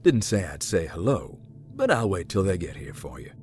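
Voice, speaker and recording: A performer voicing a calm, guarded, deadpan believer in ghosts in a visual novel, speaking tersely. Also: deep voice, normal speed, a little hiss or hum.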